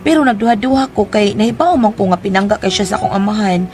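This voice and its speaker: A woman's voice: medium pitch, lively intonation, quick pace, darkish tone, faint music behind, lightly acted. An actress playing a troubled young woman in a radio drama, asking questions and telling her worries about her sister.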